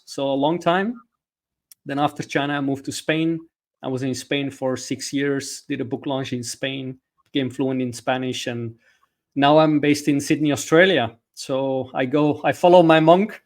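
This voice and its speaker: A middle-aged man from Belgium, explaining his ideas as a podcast guest and coach. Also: mid-range voice. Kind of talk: explanatory interview talk.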